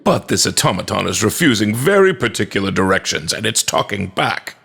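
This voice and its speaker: A man plays a menacing storybook police inspector with a husky, gravelly voice. He is officious and cold, speaking in formal, insistent phrases. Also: lowish voice, sing-song, a little fast, fairly bright tone, soft background music, exaggerated voice.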